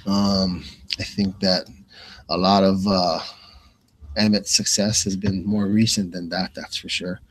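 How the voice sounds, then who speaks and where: A man's voice: low voice, level tone, unhurried, poor audio. A man hosting live fight commentary in a loose, casual, rambling way, often repeating phrases as he thinks aloud. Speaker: radio play-by-play commentator and host.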